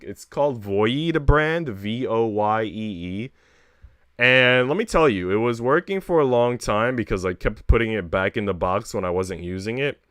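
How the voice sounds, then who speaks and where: Low, clear-voiced man chatting casually on stream. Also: a bit bright, no echo, clean studio sound. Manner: rambling, slangy, freely swearing. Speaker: streamer reading a visual novel aloud. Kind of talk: casual livestream chat.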